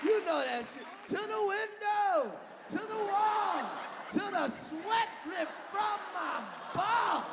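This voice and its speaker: A man in his thirties performing a loud stand-up comedy set on stage, shouting punchlines and chanting lyrics. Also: extremely high pitch, lively intonation, slow, vocal fry. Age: 30s. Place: large venue on stage. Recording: live hall with loud crowd and noise.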